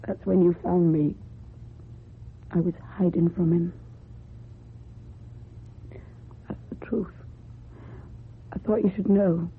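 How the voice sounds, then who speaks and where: A woman acting in a radio drama as a timid, guarded young runaway, speaking in a subdued, husky and breathy voice. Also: fairly low pitch, fairly even intonation, measured pace, heavily acted. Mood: subdued, sincere. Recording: muffled, phone-like old radio recording.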